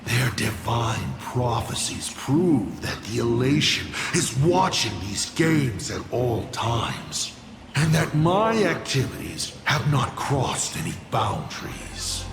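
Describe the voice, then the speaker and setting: Slow, swooping theatrical villain. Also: male voice, medium pitch, big pitch swings, roomy sound, over music. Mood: smug, explanatory. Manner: theatrical, teasing, drawn-out delivery with big swoops. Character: theatrical scheming male villain, smug and playful. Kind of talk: video game voice acting.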